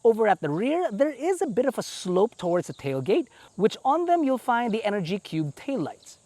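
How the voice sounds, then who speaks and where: Lively Filipino man reviewing a car. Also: sits high, normal speed, no room sound. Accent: Filipino English. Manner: chatty, playful, enthusiastic asides. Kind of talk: presenting a car review walkaround.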